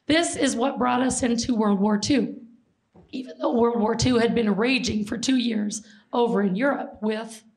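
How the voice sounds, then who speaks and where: A middle-aged woman from Seattle speaks to a packed auditorium through a handheld mic, her voice hoarse and gravelly from overuse. She pitches it a touch high and keeps things lively, throwing questions and jokes out to the crowd. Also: normal speed, rough recording. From Seattle, Washington. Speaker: presenter and flight attendant. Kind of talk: public history presentation to an audience. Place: large auditorium stage.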